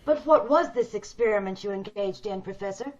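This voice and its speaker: A woman's voice: medium pitch, fairly quick, close to an everyday voice. A woman playing a homely, probing woman who yearns for beauty, questioning insistently in old-time radio drama style, over dull video-call audio. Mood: probing, polite.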